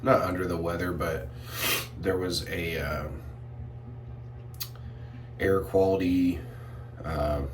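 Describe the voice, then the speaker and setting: Deep-voiced man, sniffly, off-mic. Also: takes it slowly, long pauses, recorded from a distance, slight background noise. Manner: relaxed, rambling with many fillers and throat clearing. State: sniffly from irritated sinuses. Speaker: shave product reviewer. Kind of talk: casual product review vlog.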